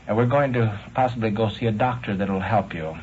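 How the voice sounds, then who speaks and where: American police lieutenant guy, lowish voice, halting and polite, muffled noisy 50s tape. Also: a little mellow. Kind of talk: calm interviewing, official. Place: police station room. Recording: noisy, dull 1950s field radio recording.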